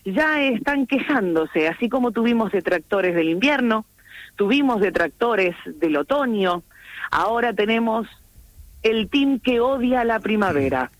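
A woman's voice: fairly high pitch, lively intonation, measured pace, no room sound. A woman with an Argentine accent chatting playfully on the radio as a columnist, joking and complaining in jest. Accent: Argentine Spanish. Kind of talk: casual radio chat.

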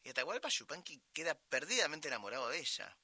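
A man's voice: on the high side, sing-song, fast talker, pushed and bright, husky, no room sound. A man from Argentina with an Argentine accent interviewing a guest, prompting her and finishing her sentences. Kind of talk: conversational interview.

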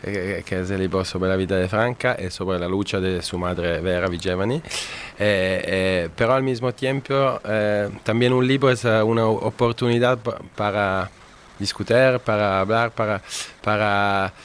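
A man from Italy speaking Spanish with an Italian accent as a guest historian on a radio interview, halting with many pauses and fillers. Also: on the low side, steady tone, slight background noise.

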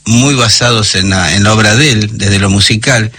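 Hoarse porteño radio music presenter. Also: male voice, fairly low pitch, bright, forceful tone. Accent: Porteño Argentine Spanish. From Buenos Aires. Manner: explanatory, halting, with many fillers. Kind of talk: casual radio chat.

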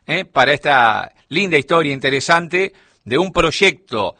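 A man's voice: fairly high pitch, animated, measured pace, pushed and bright, dry recording. A middle-aged man with a Rioplatense Spanish accent, explaining a topic as a radio columnist in a studio chat. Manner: explanatory, storytelling delivery.

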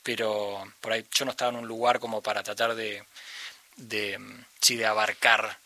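A man with an Argentine accent, a musician talking thoughtfully in an interview and often pausing to search for the right word. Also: fairly low pitch, flat delivery, bright, forceful tone. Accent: Argentine Spanish. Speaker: musician guest. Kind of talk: reflective conversational interview.

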